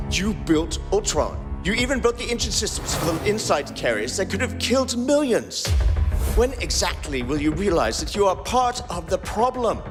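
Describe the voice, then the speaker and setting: Man reading fan fiction dialogue with lively pitch. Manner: voices several characters by shifting pitch and pace. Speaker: podcast co-host reading fanfiction.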